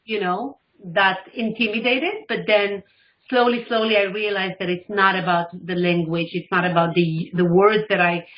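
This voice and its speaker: A woman's voice: medium pitch, moderate pace. A middle-aged woman from Israel, a life coach speaking as a radio guest, telling her story with animation and acting out what people said. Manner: animated storytelling, acts out quoted dialogue. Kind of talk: casual interview talk. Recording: dull lo-fi audio.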